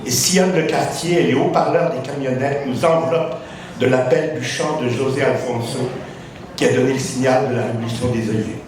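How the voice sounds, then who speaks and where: A man's voice: mid-range voice, fairly quick, a little mellow. Man reading aloud in a very echoey hall. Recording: very echoey, distant, noisy.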